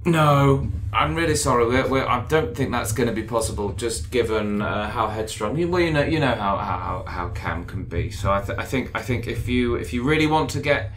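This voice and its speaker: A man speaks in character, politely hesitant and full of restarts. His pitch rises and falls a lot, over a clear layer of background ambience. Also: expressive, normal speed, few pauses, a bit bright, slight background noise. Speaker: player. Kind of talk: tabletop roleplay play and in-character talk.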